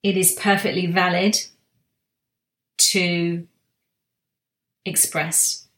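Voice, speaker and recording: A woman giving a slow, reflective spiritual talk, soft-spoken, with long pauses and restarts. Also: lowish voice, little pitch movement, reverberant. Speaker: spiritual guide sharing monthly energy reading.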